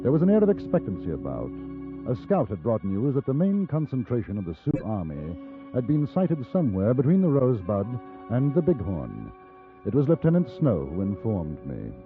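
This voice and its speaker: Actor as an English gentleman reporter, lively old-radio voice. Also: medium pitch, lightly acted. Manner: polite, wry, measured. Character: English gentleman reporter, former army officer, courteous, adventurous. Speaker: narrator and lead character. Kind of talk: 1950s radio drama acting, first-person narration. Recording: muffled 1950s radio, music behind.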